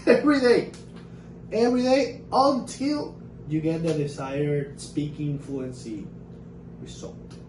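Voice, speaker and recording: A man whose first language is Spanish, an English teacher and fluency coach, giving a casual lesson with energetic, emphatic repetition. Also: high voice, echoey room, distant mic, a little hiss or hum, low-quality recording. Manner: energetic, emphatic repetition, talks in short bursts.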